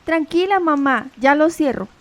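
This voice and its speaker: Woman playing a high-voiced young daughter. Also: animated, normal speed. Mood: soothing. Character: young, dutiful, soothing daughter. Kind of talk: radio drama acting.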